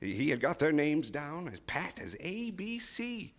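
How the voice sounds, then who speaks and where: An actor playing a folksy, chatty train conductor, spinning a yarn in colloquial speech in a live radio play. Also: male voice, fairly high pitch, big pitch swings, unhurried, fairly bright tone, exaggerated voice. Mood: amused, gossipy. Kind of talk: live radio drama acting. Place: theater stage before a live audience.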